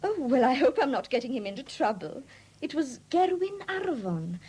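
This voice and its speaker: A woman's voice: high voice, very animated, normal speed, darkish tone, slightly rough, full character voice, low-quality recording. An actress playing a flighty, defensive young woman in a 1970s radio drama, breezy and evasive under questioning. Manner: breezy, evasive, indignant when challenged. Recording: hissy old 1970s radio broadcast recording.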